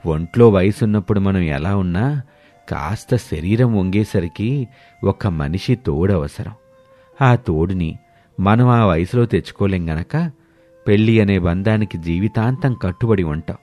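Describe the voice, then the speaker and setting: A gentle ageing village father, played by a man in a storybook tale. His voice is dark and mellow at a low-normal male register, humble and patient, over music. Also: unhurried. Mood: consoling. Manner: soft, patient, pleading.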